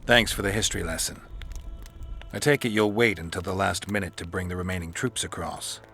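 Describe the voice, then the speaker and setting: An actor playing a gruff, sardonic monster hunter in a video game, terse and deadpan, with a gravelly voice. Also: male voice, sits low, a little fast, faint music behind. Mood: dry sarcasm. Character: gruff, sardonic monster-hunting warrior for hire. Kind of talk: video game dialogue acting.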